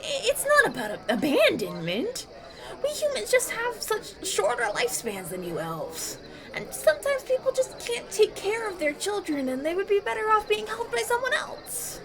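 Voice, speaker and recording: A man's voice: very high voice, wildly swooping pitch, moderate pace, heavily acted, poor audio. A man playing a polite, nervous young human farm boy in a fantasy audio roleplay, earnest and hesitant. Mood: flustered. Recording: banquet crowd chatter behind.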